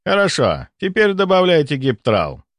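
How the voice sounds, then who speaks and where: A man's voice: on the high side, huge pitch swings, moderate pace, no room sound, voice pushed up. A man voicing a pompous, self-satisfied male doctor with a mocking, theatrical delivery in an audiobook. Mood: curt, commanding.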